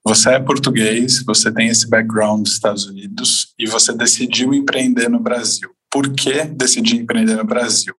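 A man hosting a podcast, opening the show and asking questions in a friendly, energetic way. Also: medium pitch, normal speed, few pauses, slightly rough. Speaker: podcast host.

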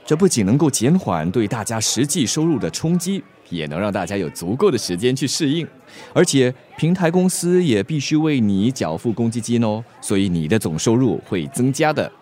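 An actor plays a sensible adviser in a radio drama, explaining and reassuring with very varied intonation. His voice is mid-pitched. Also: sing-song, darkish tone, close to an everyday voice. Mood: reassuring, earnest. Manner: measured, explanatory. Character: sensible, reassuring male adviser to young couple.

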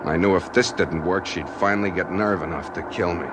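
A man's voice: steady tone, a little fast, close to an everyday voice. An American man playing a desperate, hard-boiled driver held at gunpoint in a 1940s radio drama, with a wry, pleading manner. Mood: grim narration. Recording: noisy 1940s radio transcription, engine rumble.

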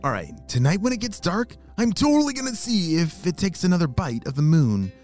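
A woman's voice: lowish voice, sing-song, brisk, a little mellow, faint music behind, pitched above the performer's own voice. A woman voicing an eager, curious young boy in a children's story, with exclamations and hesitant stammers. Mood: determined.

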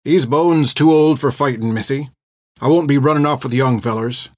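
A male audiobook narrator voicing an old reformed pirate, gruff and haunted, talking in rustic, ungrammatical sailor's speech. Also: mid-range voice, normal speed, no room sound, studio quality, natural voice. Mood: weary, guarded. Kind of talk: dramatic audiobook character acting.

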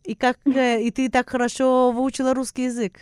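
A woman's voice: high voice, little pitch movement, fairly quick, a little mellow, clean tone, no echo, studio quality. A woman hosting a podcast in a conversational interview style. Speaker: podcast host.

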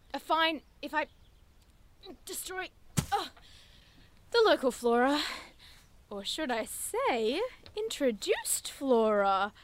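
Woman acting as earnest, high-voiced young sleuth. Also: heavily acted. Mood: excited. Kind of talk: audio drama acting.

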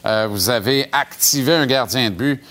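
A man with a Quebec French accent talks sports as an interviewer. His voice sits at an average pitch, and his phrasing is colloquial and full of opinion. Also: moderate pace, fairly bright tone. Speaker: sports show host interviewing a guest. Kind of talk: casual sports talk.

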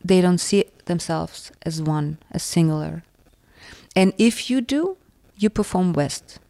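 A woman from the former Soviet Union, a native Russian speaker with a Russian accent, answering interview questions in a reflective way and often pausing to search for words. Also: fairly low pitch, animated, gravelly, mellow, dry recording. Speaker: author and interviewee.

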